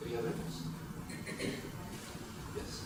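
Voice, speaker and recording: Guy hosting a q&a casually, really echoey, noisy, far away. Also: slow pace. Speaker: moderator. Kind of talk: casual event moderating. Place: indoor room at a table read event. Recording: distant, very echoey, noisy room.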